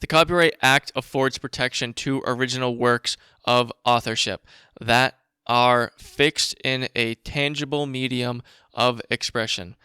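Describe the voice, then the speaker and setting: A man hosting a casual commentary show, reading documents aloud and remarking on them in a blunt, informal, profane way, with a slight rasp. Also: medium pitch, steady tone, unhurried, pushed and bright, no echo, clean studio sound. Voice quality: raspy. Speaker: show host.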